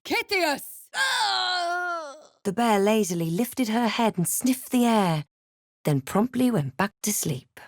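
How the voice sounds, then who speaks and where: A woman playing a wry, wary spellcasting adventurer in a video game, speaking in a measured, dramatic and dry manner. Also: a little high, very animated, dry recording, exaggerated voice. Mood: forceful incanting. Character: snarky adventurer heroine, wary, wry, spellcasting fantasy protagonist. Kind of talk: video game voice lines, remarks and first-person narration.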